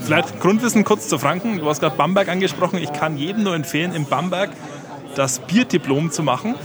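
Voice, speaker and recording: A man's voice: fairly high pitch, fairly quick. A man with a Franconian German accent, answering interview questions casually and hesitantly, with many filler sounds. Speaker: guest. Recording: loud crowd chatter behind.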